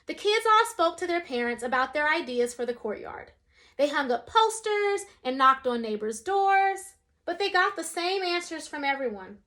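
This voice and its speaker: A woman's voice: high-pitched, moderate pace. A young adult woman reading a children's picture book aloud, expressively changing her voice for the characters. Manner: expressive, shifts voice for quoted characters.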